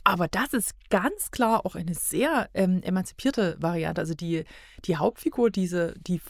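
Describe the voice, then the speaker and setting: A woman's voice: fairly low pitch, animated, moderate pace, vocal fry, no room sound, studio quality. A woman chatting casually as a podcast guest, hesitant and often searching for words. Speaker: podcast guest discussing audio dramas. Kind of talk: casual chat.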